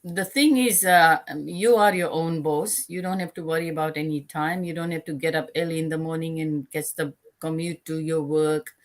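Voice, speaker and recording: Older woman from Fiji, low voice, measured retired guest. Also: fairly even intonation. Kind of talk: casual interview answers.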